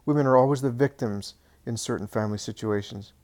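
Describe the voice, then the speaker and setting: A man's voice: mid-range voice, a little fast, a little mellow, natural voice. A man voicing a worried townsman who confides town gossip in an earnest, sympathetic way.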